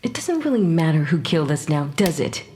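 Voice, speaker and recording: A woman's voice: deep voice, big pitch swings, a little fast, a little mellow, faint music behind. An actress playing the sardonic, indignant ghost of a murdered mother in an audio drama, with an impatient manner. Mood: sardonic, incredulous. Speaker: actor in fiction podcast.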